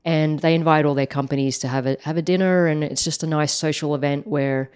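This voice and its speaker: A woman co-hosting a podcast in casual chat, her voice husky and a little hoarse from shouting, talking in a chatty, upbeat way and explaining things plainly. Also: fairly even intonation, brisk. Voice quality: low, croaky. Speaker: podcast co-host, startup founder.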